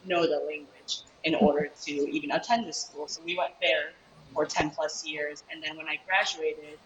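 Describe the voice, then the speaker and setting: A woman's voice: sits low, steady tone, moderate pace, highs cut off, slight background noise. A woman from Chicago whose first language is Japanese, answering interview questions casually with lots of fillers over a shared mic. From Chicago, USA. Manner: rambling, many fillers. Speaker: guest taiko performer. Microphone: shared mic on a video call.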